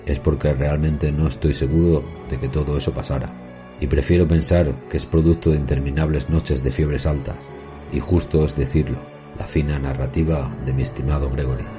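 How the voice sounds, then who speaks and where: A man playing a haunted professor, recounting a horror tale in measured, solemn, literary narration. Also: extremely low pitch, steady tone. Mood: sombre recollection. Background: music.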